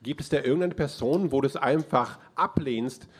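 A man with an Austrian accent in a mid-range voice interprets a sermon phrase by phrase. He speaks in a relaxed, casual way and often pauses on filler sounds as he works out each phrase. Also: mid-range voice, husky. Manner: relaxed, with frequent "ähm" fillers.

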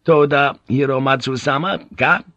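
A man's voice: mid-range voice, normal speed, fairly bright tone, no room sound, muffled recording, pitched above the performer's own voice, natural voice. A man voicing a brash, swaggering Portuguese ship's pilot in an audiobook, cocky, bluffing and coarse-mouthed.

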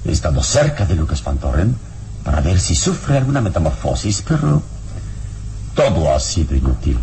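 An actor playing a calm, wise adventurer hero with a solemn, deliberate delivery in a radio drama. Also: male voice, sits low, vocal fry, exaggerated voice. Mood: calm, pondering. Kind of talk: dramatic radio narration and acting. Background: dramatic music.

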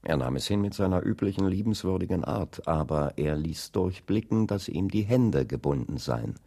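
A man's voice: sits low, lively intonation, normal speed, vocal fry, dark tone, exaggerated voice. An actor playing a determined civil rights leader talking privately with his wife in a radio drama, emphatic and wry. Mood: frustrated, resolute.

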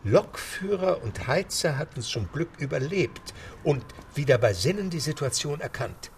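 A man giving steady, scripted storytelling narration for a radio drama. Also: a little high, normal speed, a little hiss or hum.

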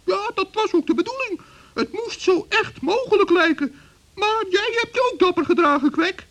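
A man voicing a small, brave, cheerful woodland gnome in a children's radio play, lively and eager. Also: very high voice, normal speed, pitched above the performer's own voice, heavily acted. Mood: reassuring.

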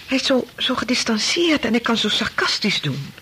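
An actress playing an anxious, probing wife in a Dutch radio play, husky and hesitant, repeating words as she presses her questions. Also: on the high side, big pitch swings, normal speed, sharp tone, slight background noise. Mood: uneasy, probing. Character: anxious, probing wife of a doctor, brooding and insistent.

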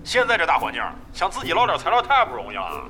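An actor playing a brash, crafty veteran gamer who grumbles and swears in a Chinese radio drama. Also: male voice, fairly high pitch, wildly swooping pitch, fast talker, pushed and bright, slight background noise, exaggerated voice. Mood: brusque, grumbling. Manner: coarse, swearing, blustery.

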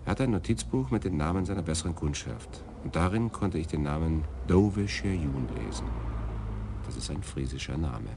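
A man's voice: rumbling low, animated, normal speed, noisy background. An actor playing a thorough police detective who reports his findings in a matter-of-fact way. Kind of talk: radio drama acting.

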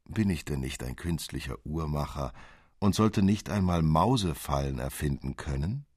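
A secretive, wry clockmaker godfather in a storybook, played by a male reader, speaks in measured phrases. The voice is low and slightly husky. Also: lively intonation, a little mellow, full character voice. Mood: wry storytelling.

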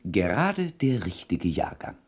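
An actor playing a worldly gentleman friend, a man with a husky voice and an urbane, admonishing manner in a radio play. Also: fairly low pitch, big pitch swings, unhurried, full character voice. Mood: genial, teasing. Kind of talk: German radio play dialogue.

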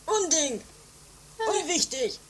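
A woman's voice: sits high, expressive, takes it slowly. A woman playing a grumpy, dismissive oddball with an exaggerated drawling manner in an amateur comedy radio play. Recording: roomy distant 1980s home recording, music behind.

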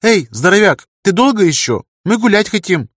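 A man voicing a lively young woman who is bold, direct and playful, as an audiobook character. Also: on the high side, fairly quick, no echo, studio quality, voice pushed up. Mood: chatty. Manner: playful, expressive.

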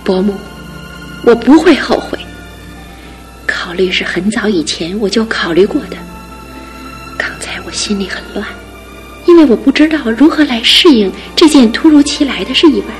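An actress playing a devoted young fiancée. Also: female voice, a little high, animated, normal speed, pauses often, natural voice. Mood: firm. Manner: soft, soothing, often near a whisper. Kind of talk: radio drama acting. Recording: radio drama with music behind.

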